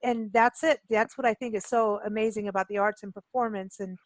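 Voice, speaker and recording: A woman's voice: mid-range voice, steady tone, brisk, dry recording. A woman from Kenya hosting a casual radio interview, talking in a chatty, informal, joking way. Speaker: radio show host.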